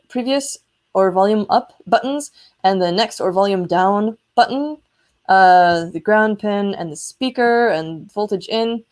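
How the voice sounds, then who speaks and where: A woman's voice: medium pitch, a little mellow. A woman hosting a casual live electronics demo, chatty and enthusiastic as she explains hardware. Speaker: host of an electronics prototyping livestream.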